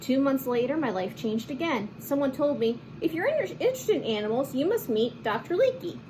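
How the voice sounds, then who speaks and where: A woman reading a picture book aloud to children in an expressive, animated manner, with chatty asides and simple explanations. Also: high-pitched, brisk, background noise. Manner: animated, acts out lines and explains words to children. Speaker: children's read-aloud host.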